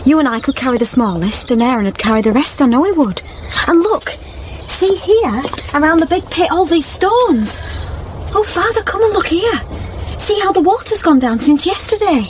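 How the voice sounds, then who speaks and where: An affectionate young village girl in a radio drama. Her voice is fairly high and her rustic phrasing is simple and innocent. Outdoor ambience is clearly audible behind her. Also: lively intonation, normal speed, slight background noise. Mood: eager, curious.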